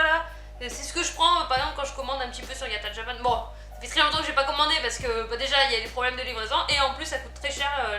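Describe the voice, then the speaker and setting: A woman chatting through an unboxing vlog, easily delighted and quick to giggle. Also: high voice, pushed and bright, echoey room. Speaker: unboxing vlogger. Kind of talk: casual product unboxing chat.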